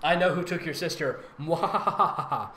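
A man's voice: a little high, normal speed, sharp tone. A man hosting a comedic read-aloud of fan fiction, speaking in a theatrical, giggly, sarcastic manner with mocking commentary. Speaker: host, fan fiction reader and voice actor. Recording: roomy echo with faint noise.

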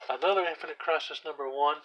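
A hoarse man casually walking through his comic book collection, reading out titles with offhand asides while he sits on the floor at home. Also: on the high side, quick pace, bright, forceful tone, highs cut off. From US South. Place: indoors at home, seated on the floor by a shelf. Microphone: camera aimed at the shelf, speaker off screen.

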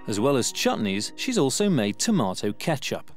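A man narrates a documentary with a lively, rising and falling delivery. His voice is mid-pitched, and a clear music bed plays under it. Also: moderate pace. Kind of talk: scripted narration.

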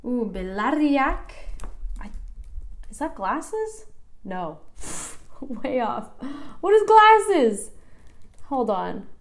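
Young woman, American accent, fairly high voice, chatty self-deprecating vlog, home living room, camera mic. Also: very animated, drawn-out words, long pauses. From United States. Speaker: vlogger learning Basque.

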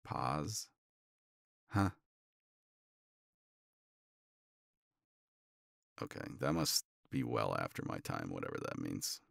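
Very deep, gravelly man acting a tender boyfriend. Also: wildly swooping pitch, a bit bright, dry recording, clean studio sound, full character voice. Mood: wry, affectionate. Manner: halting, murmured, playful. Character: tender, teasing older boyfriend the morning after. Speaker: audio roleplay voice actor. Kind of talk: intimate romance ASMR roleplay.

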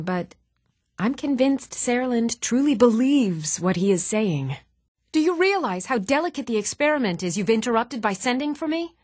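An actress voicing a composed, earnest woman in a fantasy video game, speaking in a measured, restrained way with clear enunciation. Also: mid-range voice, a little mellow, no room sound, clean studio sound. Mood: strained, exasperated. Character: even-toned adult woman of a fantasy world, composed and earnest. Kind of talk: scripted video game character dialogue.

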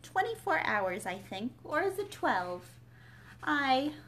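Woman voicing polite young Alice, higher-pitched. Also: very animated, slow, a bit bright, a little hiss or hum, exaggerated voice. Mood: eager. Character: curious, polite young girl Alice, easily exasperated.

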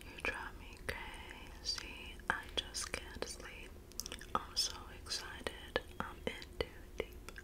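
A woman whispering softly in an ASMR roleplay as a friendly, gossipy schoolgirl classmate. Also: slow. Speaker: ASMR performer.

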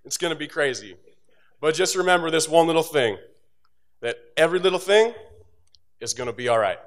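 A man's voice: lively intonation, brisk. Joking young man at a podium mic. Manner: casual and joking, with asides that drop low and quiet. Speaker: instructor giving a graduation speech. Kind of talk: motivational commencement speech. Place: stage of an auditorium. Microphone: podium microphone.

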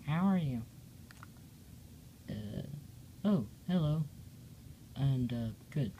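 Amateur comic read-aloud narrator: slow, lively pitch, hesitant and self-correcting, slightly noisy home recording. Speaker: storyteller reading own hand-drawn comic. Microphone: handheld phone or camera pointed at pages.

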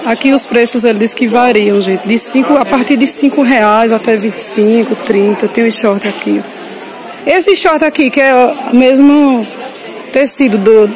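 A woman's voice: fairly high pitch, unhurried, poor audio. A woman with a Northeastern Brazilian accent chatting casually to viewers as she shows items at a busy market, informal and often repeating details. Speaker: vlogger showing market clothing stalls. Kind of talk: casual shopping vlog chat to viewers. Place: busy street clothing market. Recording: busy crowd noise all around. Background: loud market crowd.

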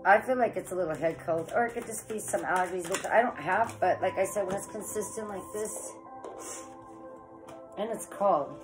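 A woman's voice: medium pitch, moderate pace, music in the background, rough recording. Chatty woman tarot reader with a head cold. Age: 40s. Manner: chatty, rambling, says "guys" often. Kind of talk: casual tarot reading to camera. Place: living room with electric fireplace, indoors.

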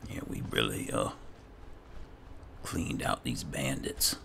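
A man giving low-key, muttered in-character commentary as he roleplays through a video game. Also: expressive, slow, vocal fry, pushed and bright, a little hiss or hum. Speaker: gaming video host. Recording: game music under the voice. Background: video game music.

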